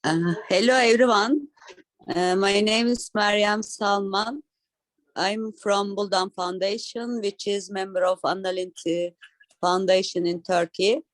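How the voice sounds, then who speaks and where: A woman's voice: medium pitch, steady tone, measured pace, dry recording. Polite woman, dry close sound. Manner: brief, polite, careful. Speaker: foundation member. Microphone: video call.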